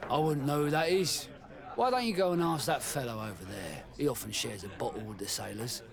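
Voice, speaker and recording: An actor voicing a plain-spoken, exasperated tavern keeper in a video game, expressive and grumbling. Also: male voice, medium pitch, fairly quick, vocal fry, a crowd in the background, natural voice.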